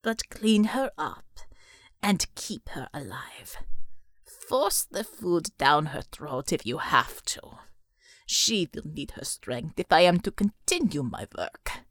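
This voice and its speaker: A woman voicing a huge, cruel male devil in an audiobook, with a slow, menacing, raspy whisper. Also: sits low, big pitch swings, a bit bright, no echo, studio quality, pitched below the performer's own voice, exaggerated voice. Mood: cold menace. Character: huge monstrous sadistic male devil, cold captor.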